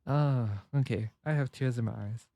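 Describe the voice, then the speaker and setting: A man reacting casually on a game stream. His tone is mellow and relaxed, recorded close and very dry, with an emotional, apologetic way of muttering his reactions. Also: medium pitch, moderate pace, dry recording. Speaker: livestreamer.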